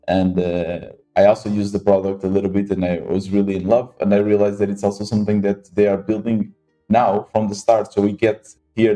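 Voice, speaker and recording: A man with a fairly low, mellow voice hosts a casual interview. He feels his way through sentences, hesitating and repeating words. Faint music sits under the recording. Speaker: podcast host interviewing startup founders.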